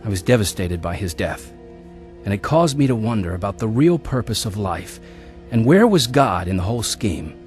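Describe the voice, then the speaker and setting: An earnest young storyteller. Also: male voice, lowish voice, big pitch swings, normal speed, a little mellow, soft background music. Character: young man narrating his wild youth. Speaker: narrator and lead actor. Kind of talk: radio drama narration.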